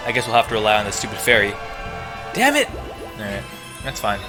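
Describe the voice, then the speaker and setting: A man's voice: fairly low pitch, big pitch swings, brisk. A man doing casual, sweary commentary while gaming, exclaiming and muttering frustrated asides. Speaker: let's play gamer. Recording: loud game music behind voice. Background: loud video game music.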